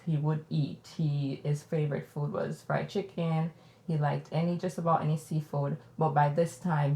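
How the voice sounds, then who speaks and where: A woman with a Jamaican accent and a low, grounded voice speaks candidly as a podcast host. Her talk is reflective and personal. The room around her rings with noticeable echo.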